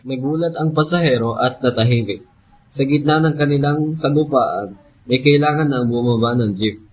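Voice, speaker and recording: A man narrates a radio drama from a script. His voice sits at a medium pitch, with faint ambient noise behind it. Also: normal speed, low-quality recording.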